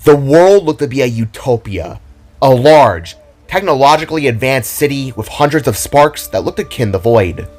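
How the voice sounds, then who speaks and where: A man's voice: medium pitch, lively intonation, normal speed, darkish tone. A man reading scripted story narration as the narrator of an audio drama. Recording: background music behind the voice.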